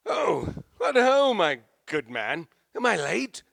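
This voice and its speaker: A man's voice: high voice, very animated, slow pace, creaky, a bit bright, dry recording, clean studio sound, exaggerated voice. A man playing a pompous, gallant, drunken old stage actor in a radio play. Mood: jovial. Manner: pompous, theatrical.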